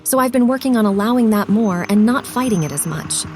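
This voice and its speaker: A woman's voice: a little high, expressive, brisk, over music, natural voice. A woman playing an earnest, slightly unsure classroom aide recounting her day in a scripted monologue. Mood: earnest, confiding.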